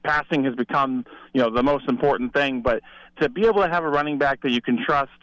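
A man's voice: medium pitch, fast, a bit bright, dry recording. A man giving conversational football analysis as a reporter guest over a remote call. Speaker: sports reporter, show guest. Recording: slightly dull remote call audio.

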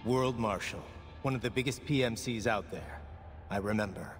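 An actor playing a grim, determined cyborg soldier in a video game, terse and intense. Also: male voice, fairly low pitch, moderate pace, long reverb tail, lightly acted. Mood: dry, grim. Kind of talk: video game cutscene acting.

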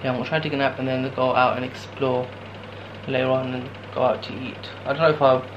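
A man's voice: mid-range voice, flat delivery, fast, muffled recording. Tired young man rambling to camera. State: tired with sore throat. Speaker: travel vlogger. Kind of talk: casual solo travel vlog. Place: guesthouse room indoors, later noisy narrow street at night. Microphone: camera held at arm's length. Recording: handheld camera with clear background noise.

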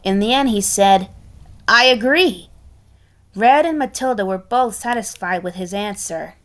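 A woman reading a children's story aloud in an expressive, halting way, with casual chatty asides. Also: mid-range voice. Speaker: storybook reader.

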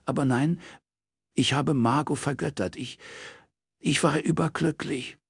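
Man voicing a high-pitched, nervous middle-aged woman. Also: creaky, husky, no echo, studio quality, full character voice. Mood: pleading, insistent. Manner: halting, stammering, shaky. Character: nervous, defensive middle-aged woman recalling her past.

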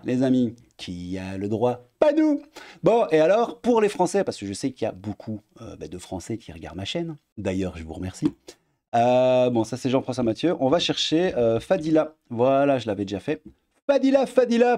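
Sarcastic Belgian man ranting at his desk. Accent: Belgian French. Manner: sarcastic, animated, swings pitch up for mock emphasis. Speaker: YouTuber commentator. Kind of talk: casual political commentary vlog. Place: home room at a desk.

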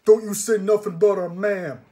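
A man performs a huge shambling brute for a storybook. The voice is booming and deep, and the speech is blunt, simple and childlike, like that of a big lad devoted to his mother. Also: measured pace, close to an everyday voice. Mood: loud, indignant.

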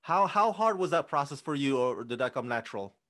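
A man's voice: medium pitch, animated, a little fast, fairly bright tone. A man hosting an interview, asking long, upbeat questions into a studio microphone over a video call. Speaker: interview host. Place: room with green backdrop, indoors. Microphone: studio mic on arm, video call.

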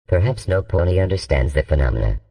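A courteous male artificial intelligence from a sci-fi storybook, acted by a man. The voice is very low and dark, set back from the mic. It speaks in a measured, formal way without emotion. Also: lively intonation, vocal fry, distant mic. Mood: calm, emotionless. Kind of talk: acted audiobook character.

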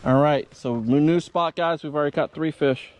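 A man's voice: a little high, moderate pace, a little mellow, dull audio, a little hiss or hum. A man hosting a fishing vlog, talking casually and folksily to viewers from an open boat on a lake. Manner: folksy, addresses viewers as y'all and guys. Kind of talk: casual chat while fishing, talking to camera.

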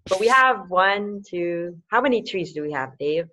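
A woman's voice: on the low side, lively intonation, moderate pace, sharp tone. A woman in her forties from the Philippines, hosting a casual online talk and laughing often. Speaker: webinar host. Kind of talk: casual video-call hosting. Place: dim room at home. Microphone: laptop webcam mic. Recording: slightly muffled call audio.

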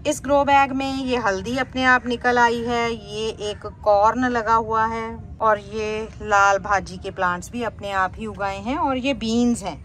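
A woman's voice: on the high side, steady tone, measured pace, few pauses, fairly bright tone, slight background noise. A woman casually narrating a garden tour as a vlogger.

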